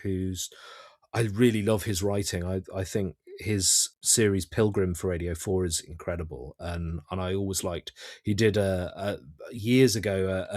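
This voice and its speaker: Low-voiced British man, halting and thoughtful. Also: a little mellow, no room sound, clean studio sound. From United Kingdom. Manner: hesitant, halting, repeating words as he thinks. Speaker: writer-director guest. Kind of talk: conversational interview answers.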